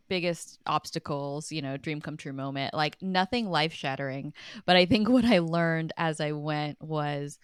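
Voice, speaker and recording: Casting: woman interviewee, deep-toned and smooth, chatty, earnest, reflective storyteller. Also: dry recording, clean studio sound. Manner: earnest, chatty, laughs easily. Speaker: podcast guest, writer and documentary producer.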